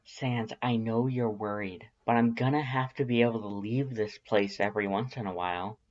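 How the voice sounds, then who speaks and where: A man's voice: fairly low pitch, fairly even intonation, moderate pace, darkish tone, highs cut off, close to an everyday voice. Man reading a worried, firm, pleading human.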